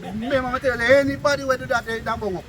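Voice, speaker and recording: A Jamaican man telling stories and bantering casually with friends, in an animated, argumentative way, repeating phrases for emphasis. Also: very high-pitched, rapid-fire, a crowd in the background. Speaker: storyteller among friends. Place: outdoors. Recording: noisy outdoor recording with street sounds.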